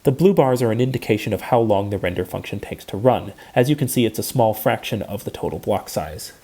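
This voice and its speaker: A man giving a scripted technical lecture, explaining in a measured and precise way. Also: fairly low pitch, lively intonation, warm tone.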